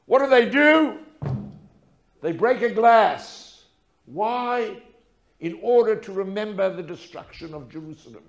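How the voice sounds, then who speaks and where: A man's voice: high voice, lively intonation, measured pace, muffled recording, soft background music. An older man telling stories as a lecture, in a dramatic, emphatic manner with rhetorical questions and repetition. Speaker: speaker telling a personal story. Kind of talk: storytelling lecture to an audience.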